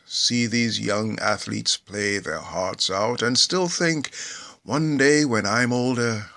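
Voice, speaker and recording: A middle-aged man from Nigeria reading a humorous memoir aloud in a measured, theatrical storytelling manner. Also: lowish voice, pushed and bright. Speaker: actor reading a book aloud. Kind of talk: scripted narration of a humorous memoir.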